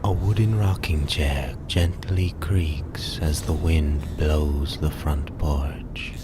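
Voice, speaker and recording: A man narrating a scripted Halloween horror radio drama in a dramatic, ominous manner with measured phrasing. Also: extremely low pitch, steady tone, background noise. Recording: loud scary music behind. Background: loud scary music.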